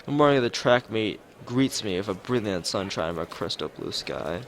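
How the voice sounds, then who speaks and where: A man reading a visual novel aloud as a let's player, casually, with halting, rambling asides. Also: fairly low pitch, lively intonation. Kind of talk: casual game narration with side commentary. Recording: music behind the voice. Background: game music.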